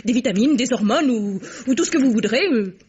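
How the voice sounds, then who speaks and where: A woman's voice: on the high side, animated, brisk, darkish tone, slightly rough. An actress playing a theatrical, effusive middle-aged society mother in an old French radio drama. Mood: exasperated, tactless. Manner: effusive, affected, exclaiming. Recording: dull vintage radio recording.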